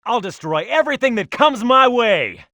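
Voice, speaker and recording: Bold high-pitched cartoon fighter. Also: male voice, sing-song, normal speed, sharp tone, no echo, full character voice. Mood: confident, determined. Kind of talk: scripted video game character voice acting.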